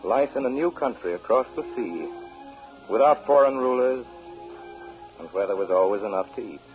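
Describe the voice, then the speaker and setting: A man's voice: mid-range voice, animated, moderate pace, soft background music, natural voice. Solemn actor as male narrator, old muffled radio. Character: solemn narrator of an immigrant story. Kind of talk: 1930s radio scripted narration. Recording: muffled 1930s radio broadcast.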